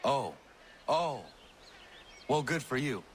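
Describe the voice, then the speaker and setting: A calm, earnest young assassin in a game cutscene. He speaks in a measured, formal way at a middling pitch, over faint background noise. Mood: mildly warm. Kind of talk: video game cutscene acting.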